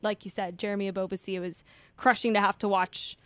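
Calm, level-voiced woman co-host. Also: medium pitch. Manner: calm, measured, hands the turn to a co-host. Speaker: podcast co-host. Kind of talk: casual chat.

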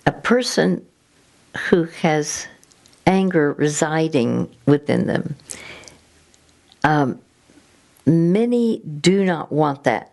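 A woman hosting a faith-based conversation, speaking haltingly and searching for her words. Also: low-pitched, lively intonation, gravelly, a little mellow. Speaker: host.

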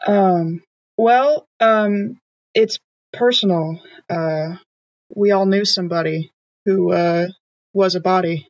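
A woman's voice: on the low side, slow pace, darkish tone. Inquisitive woman, slightly dull recording. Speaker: tabletop RPG player. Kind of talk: casual in-character tabletop roleplay.

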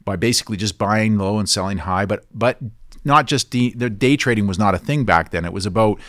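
Low voiced guy hosting an interview, chatty and eager, dry close sound. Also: fairly quick, fairly bright tone, no echo. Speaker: interviewer, investment podcast host. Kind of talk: interview conversation.

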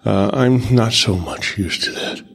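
A man's voice: on the low side, a little slow, creaky, a bit bright. A man who chairs a committee, speaking casually and self-deprecatingly at a support group meeting in a meeting room.

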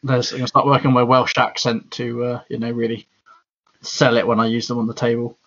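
A man co-hosting a podcast, chatting casually and a bit rambly with a husky voice. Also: mid-range voice, completely flat delivery, a little fast, fairly bright tone. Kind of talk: casual hobby chat. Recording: dull lo-fi audio.

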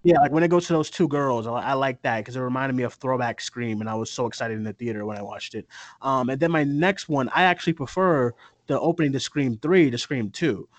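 A man's voice: mid-range voice, fairly quick, dry recording. A man hosting a casual horror film chat, speaking with enthusiasm and emphasis and often repeating his phrases. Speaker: horror podcast host.

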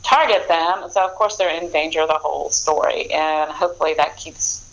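A woman's voice: low voice, a little fast, creaky, rough recording. A woman author talking casually about her books in an interview, rambling with fillers and frequent laughs. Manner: rambling, lots of ums and restarts, laughs often. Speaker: author guest.

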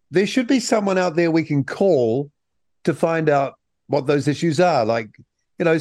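A man's voice: on the high side, darkish tone, no room sound. A radio host in a dry, close studio sound, bantering in a jokey way. He tells anecdotes and plays all the voices in them. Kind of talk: radio talk show.

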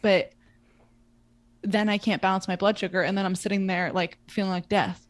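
A woman's voice: mid-range voice, little pitch movement, normal speed, dry recording. A woman in her twenties co-hosting a podcast, talking casually and chattily with lots of fillers. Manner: chatty, filler-heavy, frequent "like". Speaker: podcast co-host. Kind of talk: casual chat.

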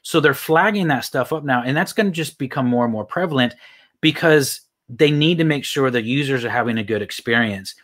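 A man in his thirties talks with an accent that mixes Texan, Irish and British sounds. He answers questions as a guest in a casual way, in a voice of middling pitch. He rambles, restarting his sentences often. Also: a little fast, fairly bright tone. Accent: mixed Texan, Irish and British. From United States. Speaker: online marketing guest. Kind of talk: casual interview talk.

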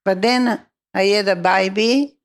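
A woman's voice: mid-range voice, measured pace. An older woman recounting her working life in an oral history interview, speaking hesitant, broken English.

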